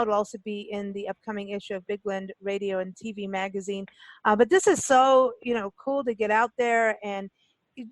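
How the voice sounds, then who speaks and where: A woman hosting a radio interview, eager and halting, with lots of fillers and repeated words. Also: fairly high pitch, no room sound, rough recording. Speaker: radio show host. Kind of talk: casual interview chat.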